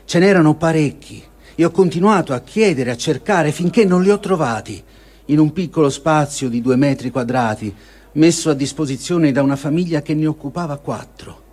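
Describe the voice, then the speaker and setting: A man with a fairly high, husky voice reads a personal diary aloud as a dramatic piece. His delivery is solemn and measured, with emotive weight and pauses between short phrases. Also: animated, a little mellow. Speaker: reader of a testimony. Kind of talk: dramatic reading of first-person war diary.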